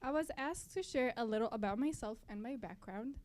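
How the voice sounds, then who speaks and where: Young woman, Palestinian-born, native Arabic speaker, slightly high voice. Student club speaker addressing an event, a little hesitant. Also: lively intonation, brisk, a little mellow, slight background noise. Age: young adult. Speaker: student club board member giving introduction. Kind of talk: welcome speech to an audience. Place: college library event.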